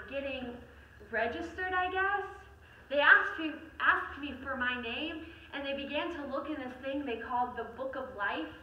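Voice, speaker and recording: A woman playing a frightened, accusing high school girl who has died, in a halting, pleading dramatic monologue. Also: bright, forceful tone, a little hiss or hum. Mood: dazed, somber. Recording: echoey room, far mic, dull lo-fi.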